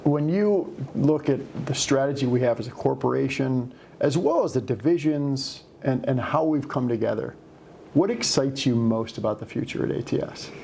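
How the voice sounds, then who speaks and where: A man leading a corporate interview, asking questions in a measured, encouraging way. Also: mid-range voice, lively intonation, vocal fry, darkish tone, slight background noise. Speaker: company leader interviewing a colleague.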